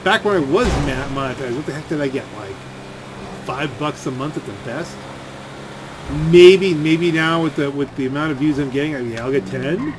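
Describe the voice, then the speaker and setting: A man's voice: fairly high pitch, moderate pace. A man rambling through off-the-cuff gameplay commentary in a grumbling, sardonic way. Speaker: gaming video creator. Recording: clear game vehicle and gunfire noise. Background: video game driving and shooting sounds.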